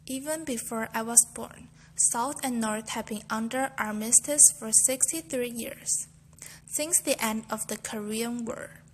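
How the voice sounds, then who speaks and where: A woman's voice: a little high, unhurried, a little hiss or hum, poor audio. A young woman, a university student, reciting a memorized speech in a careful, earnest storytelling way. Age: young adult.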